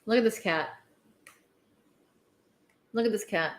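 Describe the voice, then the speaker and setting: A woman's voice: medium pitch, slow pace, echoey room. A woman from Ukraine with a Ukrainian accent, giving casual livestream news commentary in an outspoken, emphatic way and repeating herself for stress. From Lviv, western Ukraine.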